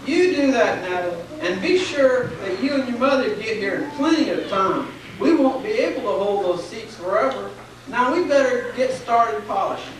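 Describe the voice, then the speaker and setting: A performer playing a kindly, devout old grandfather in a puppet show, telling things earnestly and gently. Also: mid-range voice, animated, moderate pace, natural voice. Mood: gentle, reflective. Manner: earnest storytelling, gently reassuring. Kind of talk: stage puppet show dialogue. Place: church, recorded from afar. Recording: very echoey, distant, noisy 1990s church recording.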